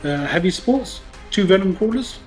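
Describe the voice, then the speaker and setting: A man's voice: high voice, expressive, moderate pace, a little mellow, faint music behind. A man co-hosting a tabletop wargame show in casual chat.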